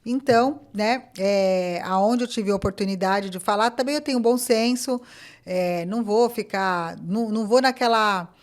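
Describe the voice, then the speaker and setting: A woman, a podcast guest telling stories in a casual, animated way and acting out quoted talk. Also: medium pitch, normal speed. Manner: animated, acts out quoted dialogue, many fillers. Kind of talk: casual interview storytelling.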